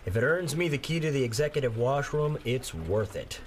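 A man's voice: mid-range voice, lively intonation, fairly quick, lightly acted. An actor playing a cautious, overworked male office employee in a modern audio drama. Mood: earnest.

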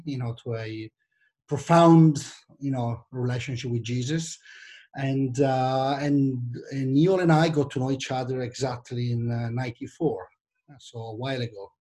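A middle-aged man with an Italian accent talks as an easygoing guest on a video call. His voice sits in an everyday middle pitch, and he laughs easily. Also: warm tone. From Italy. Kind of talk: casual conversation.

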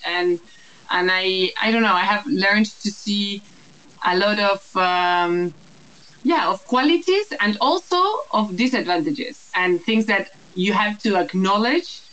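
A woman's voice: medium pitch, a bit bright, highs cut off. A woman, talking as an interview guest in a reflective, casual way with frequent restarts. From Uruguay.

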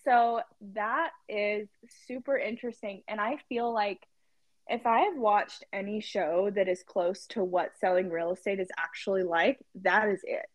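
A woman's voice: medium pitch, a little slow, no echo. A young adult woman in casual chat, telling rambling stories and laughing along the way. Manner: chatty, laughing, rambling storyteller.

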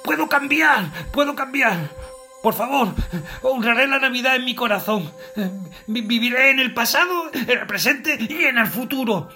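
High-pitched, stammering old miser. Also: male voice, animated, moderate pace, sharp tone, soft background music, heavily acted. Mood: pleading, distraught. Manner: stammering, exclaiming. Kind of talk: amateur audio drama acting.

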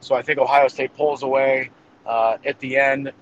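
A man with a medium-pitched voice talks through football predictions as a guest analyst. He is casual and hesitant, working out his thoughts aloud. The sound is slightly muffled and lo-fi, with faint noise in the background. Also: flat delivery, normal speed. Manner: hesitant, thinking aloud as he goes. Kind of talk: casual game-prediction commentary.

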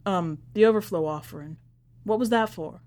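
A woman's voice: mid-range voice, moderate pace, a little mellow, lightly acted. Dogged male reporter, read by a woman, terse and probing, suspicious, for an audiobook story. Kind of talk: acted storybook dialogue.

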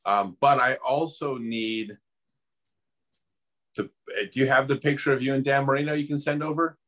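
A man's voice: mid-range voice, fairly even intonation, normal speed, fairly bright tone. A man hosting a casual interview who asks short clarifying questions. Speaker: host.

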